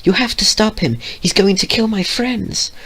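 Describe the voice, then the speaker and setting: A man doing a timid high pony girl. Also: normal speed, a bit bright, husky, full character voice. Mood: pleading. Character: timid gentle female pony.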